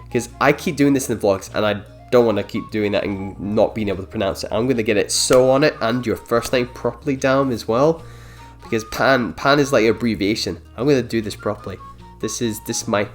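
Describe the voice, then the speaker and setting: A man from Scotland talking casually to his vlog in an unscripted, rambling way, often interrupting himself and thinking aloud. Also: fairly low pitch. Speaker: vlogger, dance choreographer and producer. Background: background guitar music.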